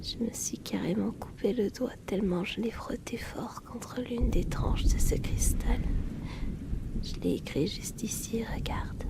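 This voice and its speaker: A woman playing a shy, self-loathing young male outcast in a hesitant, breathy whispered ASMR roleplay. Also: medium pitch. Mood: intimate, fascinated. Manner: hesitant, apologetic, halting.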